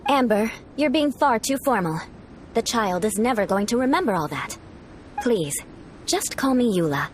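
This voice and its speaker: A woman's voice: fairly high pitch, very animated, normal speed, a little hiss or hum. A woman voicing a proud, poised noblewoman knight in a video game, with a composed, refined manner. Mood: cool, haughty. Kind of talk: English game voice acting.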